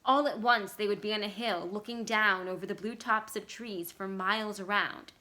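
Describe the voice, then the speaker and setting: A woman reading literary fiction aloud as expressive scripted narration. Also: medium pitch, moderate pace. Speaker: library staff story reader.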